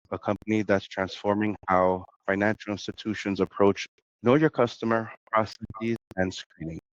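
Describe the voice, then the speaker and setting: Podcast host, male, nasal from a cold, lowish voice, dry dull lo-fi audio. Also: no echo. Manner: conversational, some filler and restarts. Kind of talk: podcast interview.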